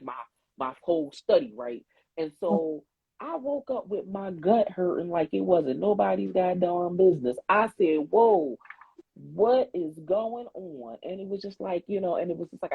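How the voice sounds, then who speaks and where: Therapist lady chatting, swears a lot, acts out convos, husky voice on a tinny phone line. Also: lowish voice, dry recording. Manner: animated storytelling, swears freely, acts out quotes. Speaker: podcast co-host; therapist and CPR instructor. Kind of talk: casual chat.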